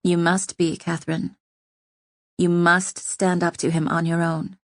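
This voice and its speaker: A woman's voice: lowish voice, little pitch movement, measured pace, no echo, clean studio sound, close to an everyday voice. A woman reading an audiobook, voicing a shrewd female poisoner who speaks soothingly but firmly. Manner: soothing, firm.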